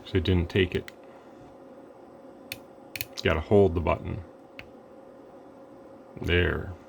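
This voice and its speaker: A man with a deep, level voice talks through a radio demo in an easygoing, rambling way, pausing with fillers as he works. He sounds low and steady, with faint noise behind him. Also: creaky. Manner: relaxed, rambling, thinks aloud with fillers. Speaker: radio equipment reviewer. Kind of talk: casual hands-on demo narration.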